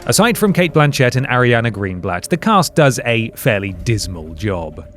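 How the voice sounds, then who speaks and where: A man's voice: medium pitch, sing-song, moderate pace, faint music behind. A man reading a scripted video essay narration, with dry, sarcastic asides.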